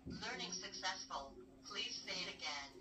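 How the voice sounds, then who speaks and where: A synthetic woman's voice giving formal, measured device prompts in short stock phrases. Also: lowish voice, lively intonation, pushed and bright, recorded from a distance. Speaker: voice assistant of a robot kit. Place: small device speaker in a meeting room. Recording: echoey small speaker, picked up from afar.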